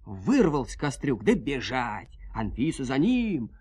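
Male storyteller for dramatized folk tale; mid-range voice, folksy, expressive delivery. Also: medium pitch, measured pace, breathy edge, no echo. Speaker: storyteller narrator.

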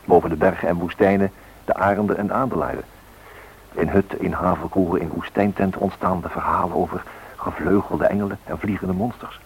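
An actor playing a solemn, poetic storyteller narrating a radio drama with dramatic emphasis and a husky voice. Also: male voice, low voice, steady tone, a little fast, a little hiss or hum. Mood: solemn, wistful. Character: solemn poetic male storyteller of flight myths. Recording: muffled old radio recording.

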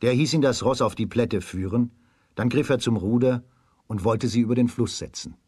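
A man narrating a scripted fairy tale for a children's radio play in a measured storytelling manner. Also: medium pitch, darkish tone, a little hiss or hum.

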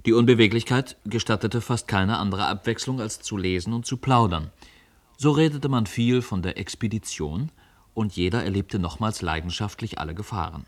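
Male narrator, literary radio play: deep register, scripted storytelling. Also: moderate pace.

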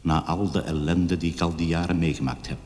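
A man's voice: low voice, fairly even intonation, fairly quick, darkish tone, close to an everyday voice. An actor playing a bitter, doomed man in his fifties, grimly confessing in a radio play letter monologue. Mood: bitter, resigned. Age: 50s. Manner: grim, confessional. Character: bitter, doomed man of fifty-two, voicing suicide letter. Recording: long hall-like reverb voice-over.

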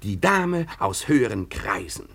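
An actor playing a brash, excitable young assistant detective in a theatrical, insistent 1950s radio drama style. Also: male voice, on the low side, a little slow, creaky, breathy edge, heavily acted. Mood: boastful, suspenseful. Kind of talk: 1950s German radio play acting. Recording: 1950s radio play recording.

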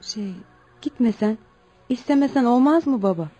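A timid, respectful village daughter played by a woman in a radio drama. Her voice is mellow and husky, on a dull, muffled recording. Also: on the high side, normal speed, soft background music, natural voice. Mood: pleading. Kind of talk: Turkish radio theatre acting.

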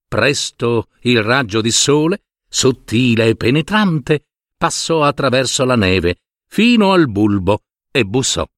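A man narrating a fairy tale as a storyteller, in theatrical, deliberate phrases. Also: mid-range voice, sing-song, dry recording, clean studio sound.

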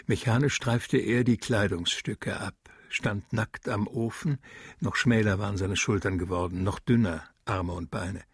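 Very husky, low-voiced male storyteller. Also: fairly even intonation, measured pace, dry recording, clean studio sound. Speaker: radio drama narrator. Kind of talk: dramatic literary narration.

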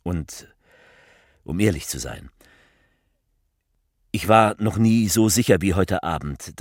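An actor playing a political orator who delivers a bleak, defeatist speech in a theatrical radio play, declamatory and repetitive, with a husky voice. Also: male voice, low voice, no room sound, clean studio sound, exaggerated voice. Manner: declamatory, repeating phrases with rhetorical pauses.

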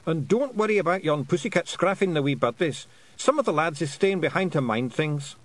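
A man voicing a small, bold fairy man in a high, gruff brogue. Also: expressive, a little fast, dry recording, natural voice. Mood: hearty.

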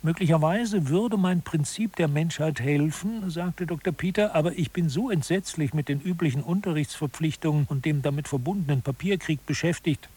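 A narrator in a satirical audio drama. His voice sits fairly high for a man. He tells the scripted material in an orderly, matter-of-fact way, as a co-author reporting findings. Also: darkish tone, slightly rough.

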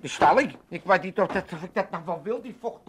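A man's voice: sits high, animated, a little fast, background noise. An actor playing a grumpy, self-pitying old Amsterdam father, repeating himself and stammering in comic radio drama. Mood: scheming, reluctant. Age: older.

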